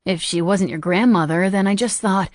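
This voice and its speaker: A woman's voice: mid-range voice, moderate pace, a little mellow, no echo, studio quality, natural voice. A woman doing a huffy, defensive young woman. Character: young woman, prickly and self-conscious.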